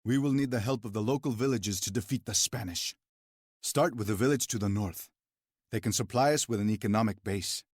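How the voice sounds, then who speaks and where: A proud male general leading a revolution in a video game. His voice is low and rough-edged, delivered with earnest, commanding authority. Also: fairly quick, darkish tone, dry recording, studio quality. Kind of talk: cinematic video game voice acting.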